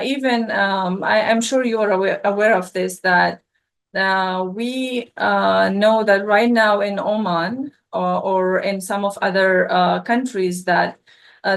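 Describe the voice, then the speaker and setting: Slow, hesitant Afghan woman guest. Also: medium pitch. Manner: hesitant, many fillers and restarts. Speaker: scholarship student guest. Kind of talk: interview answer.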